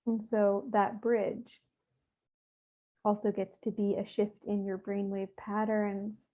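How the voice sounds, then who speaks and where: A woman's voice: medium pitch, level tone. Woman guiding meditation over thin, phone-like audio. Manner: gentle, unhurried, soothing instruction with pauses. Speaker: guided meditation teacher. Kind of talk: guided meditation.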